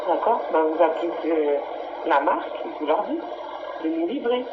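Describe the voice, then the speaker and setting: A woman's voice: deep voice, expressive, moderate pace. A woman working as a phone operator, chatting casually and staying unflappable and accommodating. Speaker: customer service phone operator. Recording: muffled, noisy telephone line.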